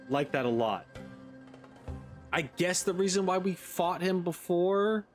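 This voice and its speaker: A man hosting a gaming video, giving casual playthrough commentary and rambling as he thinks aloud. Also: high-pitched.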